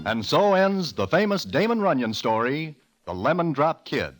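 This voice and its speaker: A man's voice: fairly high pitch, very animated, normal speed. A man announcing for a vintage radio drama series in a formal scripted style. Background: loud music.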